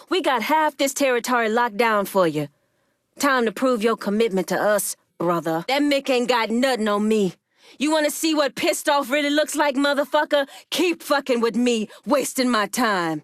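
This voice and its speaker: An actress voicing a proud, commanding woman crime boss in a video game, with a firm, deliberate and authoritative delivery. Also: on the high side, dry recording, clean studio sound, natural voice. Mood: angry, defiant.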